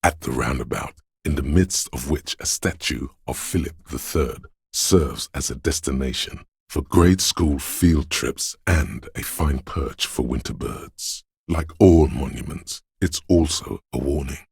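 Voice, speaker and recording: A brooding, ominous inner voice of a frozen, decaying city, narrates a video game in solemn literary phrases. The bass is exceptionally low, thick with gravel and heavily husky. Every line is measured and grave. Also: male voice, no room sound, clean studio sound, heavily acted. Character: brooding male inner voice sensing a desolate wintry city. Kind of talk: slow literary second-person game narration.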